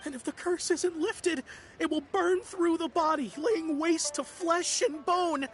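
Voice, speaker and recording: A woman's voice: extremely high pitch, a little slow. A woman voicing a panicky, superstitious young man in a video game, nervous and melodramatic. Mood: fearful, melodramatic. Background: game music.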